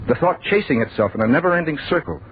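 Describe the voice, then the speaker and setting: A man's voice: on the high side, expressive, fast talker, phone-quality sound, lightly acted. Man acting an anguished, pleading man, swooping voice. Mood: anguished confessing. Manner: earnest, pleading, agitated. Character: anguished, fearful man confessing to his sweetheart. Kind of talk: 1940s radio drama acting. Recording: noisy, thin old radio recording.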